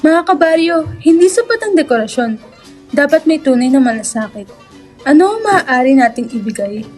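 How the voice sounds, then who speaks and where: Magical lantern spirit, woman actor: high, solemn, wise, drenched in reverb, radio drama with music underneath. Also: sing-song, normal speed, a little mellow. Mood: solemn, uplifting.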